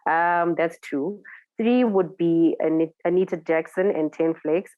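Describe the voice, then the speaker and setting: Zimbabwean woman DJ, deep voice, unhurried and reflective, dry close lo-fi recording. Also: no echo. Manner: thoughtful, searching for words, laughs easily. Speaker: DJ guest. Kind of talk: casual interview.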